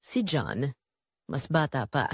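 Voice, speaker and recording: Woman acting a confessional Filipino wife, lively intonation. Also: a bass voice, breathy edge, no room sound, clean studio sound, heavily acted. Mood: troubled, resolute. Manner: confessional, measured, reflective. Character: Melissa, unhappy wife and mother confessing her betrayal. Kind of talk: first-person scripted audio drama narration.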